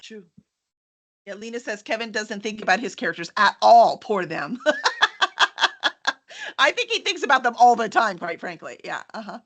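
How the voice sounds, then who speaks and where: A woman's voice: mid-range voice, animated, moderate pace, pauses often. A woman hosting a casual live-streamed book chat in an enthusiastic, gushing manner. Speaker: host of a book cover reveal.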